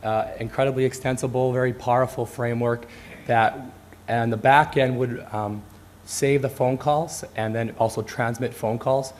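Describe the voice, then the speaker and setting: A man's voice: medium pitch, steady tone, moderate pace, slight background noise. A man acting as technical co-presenter, giving an informal explanation during a live presentation.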